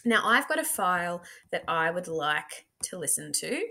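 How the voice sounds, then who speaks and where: A woman's voice: on the low side, sing-song, normal speed, creaky, pushed and bright. A woman, a teacher, giving a chatty instructional screen demo, talking through each step aloud. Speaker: teacher presenting a software tutorial. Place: indoor room at a computer.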